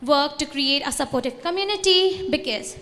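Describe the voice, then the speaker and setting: A young woman from India speaks with an Indian accent, her voice sitting high. She presents in earnest, phrasing things loosely and restarting, as a student group's representative at a conference. Her talk carries the long reverb of a large convention hall. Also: normal speed, a bit bright. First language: Hindi. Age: young adult.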